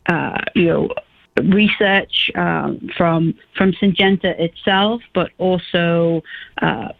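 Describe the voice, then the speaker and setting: A woman's voice: fairly low pitch, gravelly. Woman with a faint Liverpool accent, on the phone. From England, Liverpool. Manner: halting, many fillers and restarts. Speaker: guest, pest management scientist. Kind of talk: radio interview. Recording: tinny phone line.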